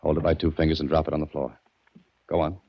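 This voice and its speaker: A man's voice: rumbling low, flat delivery, fast talker, creaky. An American actor playing a hunted innocent man on the run in a 1940s radio mystery, terse and desperate, with clipped hard-boiled delivery. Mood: commanding, wary. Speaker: lead actor and first-person narrator. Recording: muffled, noisy 1940s radio recording.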